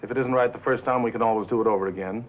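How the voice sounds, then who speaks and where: An actor playing a smooth, insistent broadcasting executive in a 1950s film drama, formal and coaxing. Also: male voice, fairly low pitch, steady tone, rapid-fire, close to an everyday voice. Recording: tinny, narrow 1950s film soundtrack, faint noise.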